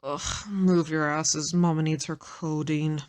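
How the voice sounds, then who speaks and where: A woman's voice: low-pitched, steady tone, slow pace, darkish tone. A woman acting in an audio drama as a brash, sardonic, hard-bitten assassin who swears freely. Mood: weary, sardonic. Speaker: voice actor in an audio roleplay.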